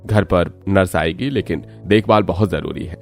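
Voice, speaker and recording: Serious, careful male doctor for a cartoon tale male narrator acting, low voice. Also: normal speed, faint music behind. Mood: grave. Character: grave, careful male doctor breaking bad news.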